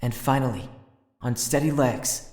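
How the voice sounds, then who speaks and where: A man's voice: medium pitch, measured pace, lightly acted. Man as earnest teenage boy, echoey narration. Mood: tense, solemn. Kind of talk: dramatic first-person narration, anime fandub. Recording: roomy echo, loud music behind.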